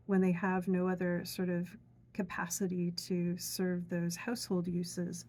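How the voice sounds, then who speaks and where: A middle-aged woman gently explaining a traditional folk craft step by step, unhurried, with many fillers and asides. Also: on the low side, slight background noise. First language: English. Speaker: craft teacher. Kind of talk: calm step-by-step craft demonstration.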